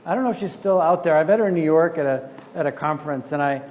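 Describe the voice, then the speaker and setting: A man gives a relaxed, chatty conference talk in a slightly raised voice, often restarting his sentences. The hall rings with a long reverb, and faint noise sits under his voice. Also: a little high, fast talker. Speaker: conference speaker. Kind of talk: conversational lecture.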